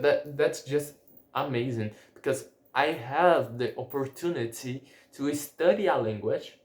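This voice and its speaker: A young man from Brazil with a Brazilian Portuguese accent, speaking English as a second language in a casual monologue, halting with frequent restarts and repeated words. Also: mid-range voice, reverberant.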